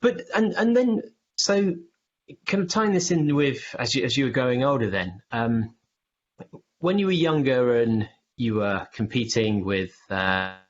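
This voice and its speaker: A man's voice: mid-range voice, expressive, unhurried, highs cut off. A British man hosting a podcast, asking long rambling questions in a casual way. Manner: rambling, loosely built questions. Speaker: podcast host.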